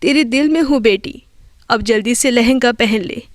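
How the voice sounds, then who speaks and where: A woman voicing a dead mother's gentle, reassuring spirit in a tender storybook tale. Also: on the high side, normal speed, no echo, clean studio sound, voice pushed down, lightly acted.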